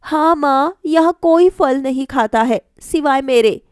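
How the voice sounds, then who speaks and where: A woman acts out a young cartoon daughter-in-law, caring and dutiful. The voice is high and unhurried, with polite, respectful phrasing. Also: dry recording, studio quality. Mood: explaining.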